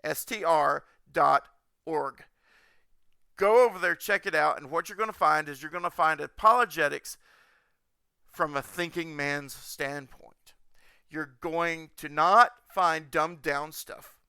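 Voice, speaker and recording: Bright, clear-voiced man hosting a podcast. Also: a little high, unhurried, no room sound, clean studio sound. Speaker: podcast host.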